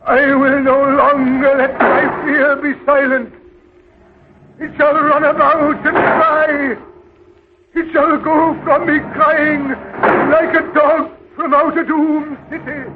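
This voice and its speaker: A man's voice: extremely high pitch, flat delivery, slow. An actor in a 1930s radio drama playing a fearful male beggar who foresees doom, speaking in a solemn, declamatory way. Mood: foreboding. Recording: tinny, noisy 1930s radio broadcast.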